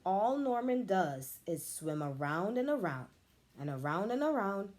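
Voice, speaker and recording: A woman, a teacher, reading a children's picture book aloud with expressive, animated storytelling. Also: mid-range voice, a little slow.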